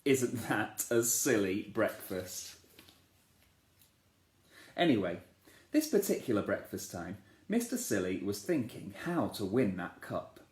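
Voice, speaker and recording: A man, a teacher, reading a children's storybook aloud in a playful, expressive way, asking the listeners questions. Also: lowish voice, slow. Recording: echoey room.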